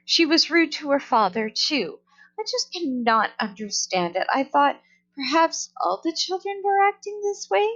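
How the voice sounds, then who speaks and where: A fretful storybook mother, as a woman reader puts her on, fussy and exclamatory. Her voice sits fairly high and swings up and down expressively. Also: very animated, measured pace, a bit bright, dull audio, exaggerated voice. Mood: worried, indignant. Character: worried, fussy mother upset about her rude daughter.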